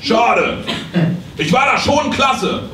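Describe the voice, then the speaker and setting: An actor playing a breezy, digressive oddball therapist in a live comedy play. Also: male voice, very high-pitched, lively intonation, extremely slow, crowd noise, heavily acted. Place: small theatre stage. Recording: echoey stage, distant, noisy.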